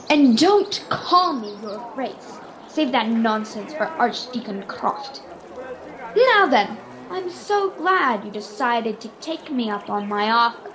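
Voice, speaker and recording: A female performer playing a brisk, secretive noblewoman in a radio-style adventure serial. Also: fairly high pitch, background noise, heavily acted. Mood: brisk, welcoming. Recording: video call with background music.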